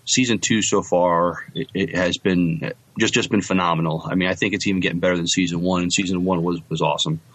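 A man with a moderately low voice co-hosts a casual podcast. His delivery is halting and conversational, with hesitant, repeated words as he chats and reads out comments. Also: fairly even intonation, fairly bright tone, breathy edge, no room sound. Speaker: podcast co-host. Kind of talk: casual chat, reading out listener comments.

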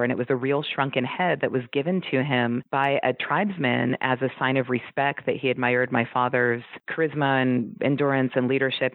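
A man's voice: mid-range voice, no room sound. A man being interviewed, fondly telling anecdotes about his late father. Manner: fond, anecdotal storytelling. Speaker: interview guest, child of a wrestler. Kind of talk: reminiscing interview answers. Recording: tinny phone line, dry.

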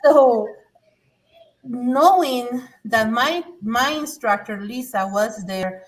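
A woman's voice: fairly high pitch, slow pace. Earnest woman, slightly distant. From Mexico. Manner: earnest, emphatic, with frequent restarts. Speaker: guest, former adult learner. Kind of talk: personal testimony in conversation.

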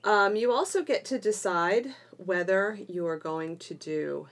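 A woman's voice: medium pitch, a little slow. A woman co-hosting a homeschooling podcast in casual, enthusiastic conversation. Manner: enthusiastic, self-described geeky. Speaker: podcast co-host and drama teacher.

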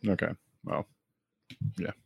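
A man's voice: slow pace, no room sound, studio quality. A man co-hosting a podcast in casual chat, laughing often and trailing off into restarts. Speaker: podcast co-host.

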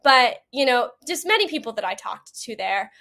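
A woman's voice: a little high, sing-song, normal speed, vocal fry, pushed and bright. A young woman giving a conversational eyewitness account as an interview guest, speaking earnestly with frequent "you know" asides. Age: young adult. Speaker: interview guest, World Youth Day attendee.

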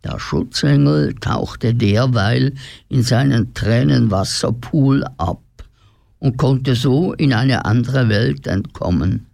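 A man reads scripted story narration at a slow, measured pace. His medium-pitched voice has a gravelly creak, and the recording is close and dry. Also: a little mellow, dry recording.

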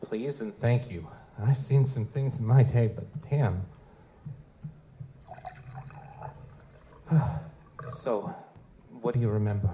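Male actor for weary noir gumshoe, mid-pitched and dark-toned, wry narrator, live-stage audio drama with background noise. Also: a little slow, natural voice. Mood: steady, probing. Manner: wry, slangy, hard-boiled. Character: world-weary male lutin private investigator, gruff and cynical. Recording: live convention performance, clear background noise.

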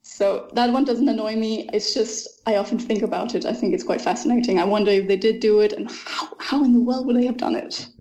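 A woman's voice: on the high side, steady tone, fairly quick, hardly any pauses, dark tone, low-quality recording. Enthusiastic woman author. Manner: thoughtful and enthusiastic, with frequent ums. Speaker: author being interviewed. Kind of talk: casual interview answers.

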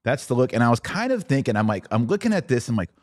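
A man's voice: medium pitch, very animated, fast talker, no room sound, studio quality. A middle-aged American man hosting a podcast, giving opinionated religious commentary in an informal, slangy, mocking and incredulous way.

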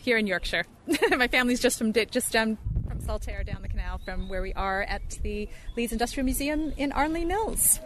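A woman's voice: fairly high pitch, animated, moderate pace, noisy background. A woman from Toronto with a Canadian accent, chatting cheerfully about her surroundings outdoors by a canal. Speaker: interview guest. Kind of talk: casual interview chat.

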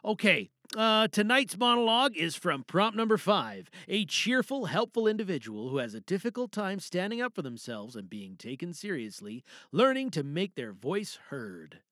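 A man's voice: high-pitched, very animated, normal speed, few pauses, bright, forceful tone, no echo, studio quality. A man hosting a podcast from a booth in a showy announcer-like way, chatting casually and rambling to himself. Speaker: host. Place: recording booth.

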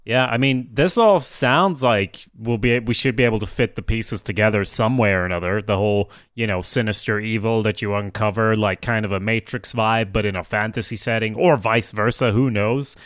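A man's voice: lowish voice, normal speed, hardly any pauses, no echo, clean studio sound. A man whose first language is Swedish, musing through a casual story brainstorm with many fillers. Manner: relaxed, musing, hesitant with fillers. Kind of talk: casual creative brainstorming chat.

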